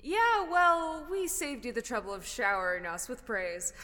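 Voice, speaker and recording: A woman's voice: a little high, a little slow, bright, forceful tone. A woman playing a cocky, wisecracking ghost in an audio drama, breezy and cheeky, heard in long reverb. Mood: smug, teasing.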